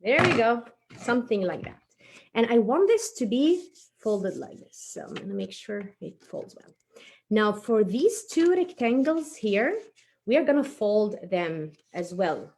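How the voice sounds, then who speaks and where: A woman's voice: on the high side, very animated, unhurried, darkish tone. Woman giving a casual craft demonstration, noisy recording. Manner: informal, encouraging, talking while working with hands. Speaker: craft tutorial presenter.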